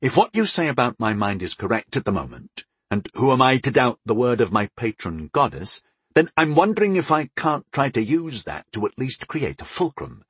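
A man's voice: mid-range voice, big pitch swings, moderate pace, a bit bright, husky, dry recording. A man playing a sarcastic, daring wizard in a fantasy audiobook, wry and musing with eager recklessness.

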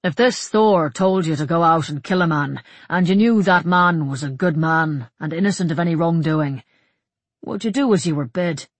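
Storybook monk, male voiced by a narrator: soft-spoken, grave and reassuring, quietly sure of himself, dry close recording. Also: medium pitch, normal speed, no room sound, lightly acted. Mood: gently probing. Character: gentle, probing monk, grave and quietly certain man. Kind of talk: audiobook character dialogue.

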